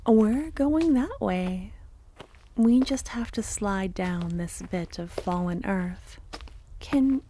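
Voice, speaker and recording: A woman playing a loving, obsessive cultist kidnapper in a soft-spoken ASMR roleplay, sweetly menacing, breathy and often whispering. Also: mid-range voice, lively intonation, a little hiss or hum. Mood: gentle, eager. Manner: slow, tender, intimate coaxing. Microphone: close ASMR microphone.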